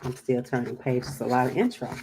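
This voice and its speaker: A woman's voice: a bass voice, expressive, brisk, heavy background noise. A woman hosting a storytime, reading a picture book aloud with chatty, encouraging, emphatic asides.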